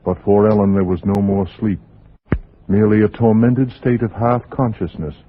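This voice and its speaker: An actor narrating a 1940s radio suspense drama in a measured, dramatic way, with weighty pauses. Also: male voice, on the low side, fairly even intonation, a little hiss or hum, natural voice. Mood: tense, brooding. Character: grave male narrator of a suspense tale. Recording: muffled 1940s radio recording.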